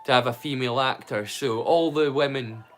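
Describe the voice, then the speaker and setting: A man's voice: medium pitch, moderate pace, faint music behind. A man hosting a casual livestream, chatting to viewers in a rambling, stop-start way and repeating words mid-sentence. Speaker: livestream host.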